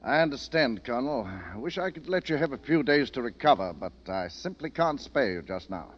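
An actor playing a firm British military officer in a radio drama. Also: male voice, mid-range voice, animated, a little fast, highs cut off, natural voice. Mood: composed, firm. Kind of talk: 1940s-50s radio drama acting. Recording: old band-limited radio recording, faint music.

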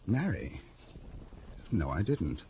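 An actor playing a smooth, ingratiating publisher in an old radio drama, fussy and evasive. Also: male voice, low voice, big pitch swings, slow, warm tone, full character voice. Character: oily, greedy middle-aged male publisher. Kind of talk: 1950s radio drama acting.

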